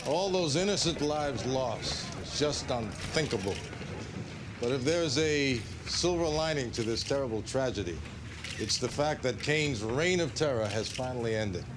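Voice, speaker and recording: An actor playing a smooth, self-satisfied director making a measured public statement in a video game. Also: male voice, mid-range voice, lively intonation, noisy background, natural voice. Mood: solemn, self-satisfied.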